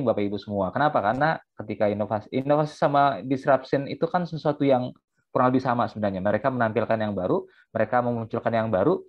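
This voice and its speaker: A man in his thirties from South Sumatra giving an online seminar talk, with many fillers and a repeated "ya". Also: medium pitch. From South Sumatra, Indonesia. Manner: fast, repetitive, many fillers and "ya". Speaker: guest speaker. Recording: tinny phone-like line.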